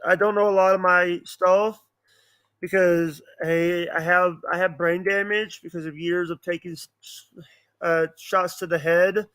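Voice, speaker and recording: A man chatting casually as a podcast guest, speaking in a halting, repetitive, earnest way. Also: sits high, steady tone, a bit bright, clear, resonant tone. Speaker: podcast guest, online show creator. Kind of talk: casual chat.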